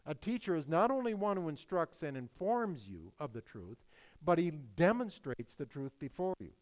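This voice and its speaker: An older male preacher with a somewhat high voice delivers a sermon with emphasis, repeating his key phrases. His delivery swings between raised, forceful passages and quiet, low endings. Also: sing-song, normal speed. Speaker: pastor.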